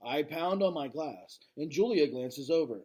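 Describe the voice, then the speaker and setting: Male read-aloud host for children's fiction, husky voice, animated delivery, informal asides to the audience. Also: on the high side, unhurried. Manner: checks in with listeners using questions. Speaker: read-aloud reader commenting to listeners.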